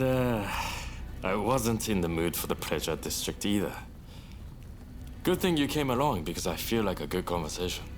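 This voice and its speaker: A man playing an easygoing, cheeky young samurai gambler in a video game cutscene, with a breezy, teasing manner. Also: sits low. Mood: relaxed, playful.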